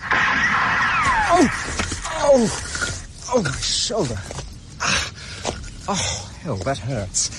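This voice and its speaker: An actor playing a wounded, dogged young British fugitive on the run, muttering urgently to himself with effort in a radio drama. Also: male voice, high voice, wildly swooping pitch, very slow, pauses often, full character voice. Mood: pained, tense. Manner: groaning, effortful, urgent self-talk. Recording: noisy outdoor sound effects behind voice.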